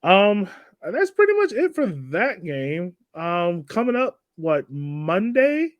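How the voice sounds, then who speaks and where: A man with a slightly high voice hosts a casual sports chat. He talks loosely and informally, with everyday slang. The sound is very dry, with little room in it. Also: wildly swooping pitch, slow pace, dry recording, lo-fi sound. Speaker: podcast host.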